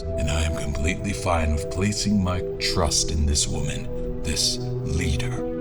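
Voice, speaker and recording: An actor playing a reflective military strategist, narrating his adventure in a solemn, deliberate, literary way for an audio drama. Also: low voice. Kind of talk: dramatic scripted first-person narration.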